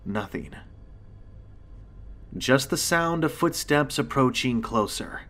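A male storyteller narrates first-person horror with a medium-pitched voice. He reads from a script in a dramatic way, leaving suspenseful pauses. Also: expressive, fairly bright tone.

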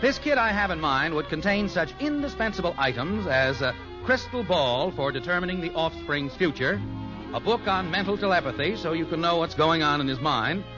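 A muffled fifties radio broadcast with music. An American man narrates in a high, swooping voice, genial and folksy, with the theatrical polish of a sitcom announcer. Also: lively intonation, moderate pace.

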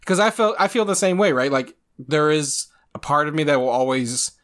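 A man's voice: a little high, expressive, normal speed, a bit bright. A man from Virginia co-hosting a podcast, chatting casually, reflective and teasing, and laughing often. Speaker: podcast co-host. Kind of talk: casual conversation.

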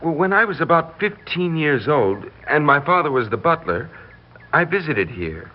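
A man's voice: fairly high pitch, very animated, moderate pace. An actor playing an earnest young man, a butler's son, hesitant yet insistent, in a 1960s radio drama. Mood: hesitant, certain. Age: young adult. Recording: muffled 1960s radio recording.

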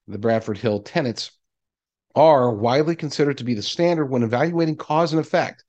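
A man hosting a commentary show, reading articles aloud and adding his own emphatic, deliberately repeated asides. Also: mid-range voice, a little mellow. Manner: emphatic, deliberate repetition of key phrases.

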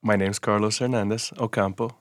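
A man, telling his own life story in a thoughtful, unhurried way full of pauses and fillers. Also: fairly low pitch, steady tone, loud music behind the voice. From Cuba. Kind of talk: personal first-person storytelling.

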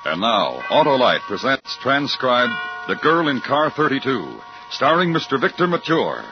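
A man's voice: medium pitch, sing-song, moderate pace. A man formally and dramatically introducing a 1950s radio thriller as its announcer. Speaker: program announcer. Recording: dull vintage radio broadcast with music.

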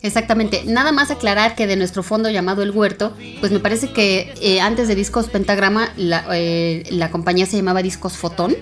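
A woman of middle pitch hosts a podcast in a friendly, casual way, pausing now and then to think. Soft music plays faintly beneath her voice. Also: fairly even intonation. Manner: friendly, with fillers and thinking pauses. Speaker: podcast co-host. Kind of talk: radio-style podcast hosting.

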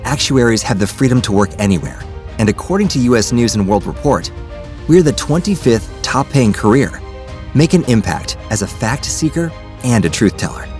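A man reading a scripted commercial in a measured, earnest way. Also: mid-range voice, lively intonation, a little mellow, faint music behind.

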